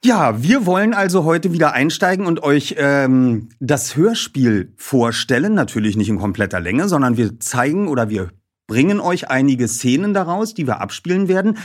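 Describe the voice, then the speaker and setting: Man hosting and reading, very varied pitch. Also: sing-song, moderate pace. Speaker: presenter reading from a novel. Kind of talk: casual hosting and audiobook narration.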